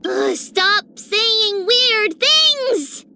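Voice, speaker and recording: A woman's voice: extremely high pitch, very animated, measured pace, very noisy, full character voice. A woman voicing a little girl in a video game, cheerful, guileless and childlike in how she exclaims. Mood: puzzled, whiny. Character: little cheerful, guileless girl who gushes over friends. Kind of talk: video game character voice lines.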